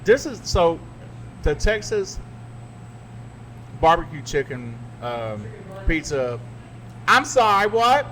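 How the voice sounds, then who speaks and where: A man's voice: a little high, very animated, slow, pauses often, fairly bright tone, a little hiss or hum, poor audio. A man hosting a podcast, joking through a casual chat over food. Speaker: podcast host.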